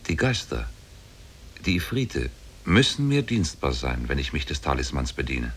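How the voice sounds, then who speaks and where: A man's voice: very deep voice, sing-song, moderate pace, a little hiss or hum. An actor playing a mighty, solemn demon sorcerer in love with his captive, in a 1950s German radio drama. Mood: boastful, confiding. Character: mighty demon sorcerer, lovestruck captor of a woman. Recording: old 1950s radio play on cassette.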